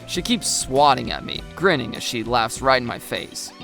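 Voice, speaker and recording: A woman's voice: extremely low pitch, animated, moderate pace, vocal fry, fairly bright tone, faint music behind. A woman reading a scripted first-person story as its narrator.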